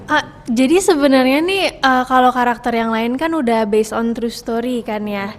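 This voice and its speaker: A woman's voice: on the high side, moderate pace, crowd noise. A woman giving a casual interview as a guest actress, explaining things informally with small asides. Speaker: actress interviewed as film cast member. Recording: reverberant room, faint background noise.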